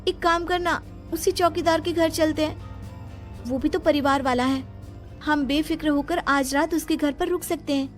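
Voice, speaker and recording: A woman's voice: high-pitched, fairly even intonation, normal speed, soft background music, natural voice. A woman playing a frightened, anxious young office worker in a cartoon horror story, nervous and pleading. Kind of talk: animated Hindi horror story dubbing.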